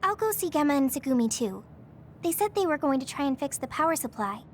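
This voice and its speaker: An actress playing an earnest, easily flustered young woman in an anime game, with expressive delivery. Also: sits high, quick pace, slight background noise. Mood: earnest, helpful.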